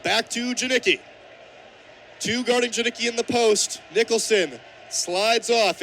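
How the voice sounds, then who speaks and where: A man in his twenties calling live basketball play-by-play for radio, descriptive and animated, swelling on big plays. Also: very high voice. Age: 20s. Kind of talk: live radio sports commentary. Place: courtside at basketball games. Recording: background noise of a live game.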